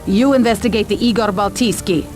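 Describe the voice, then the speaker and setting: A woman plays a cool female secret agent in a game cutscene. Her delivery is businesslike, clipped and commanding, in a medium-pitched voice, with music and rain behind it. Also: a little fast, darkish tone, natural voice. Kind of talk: video game cutscene dialogue.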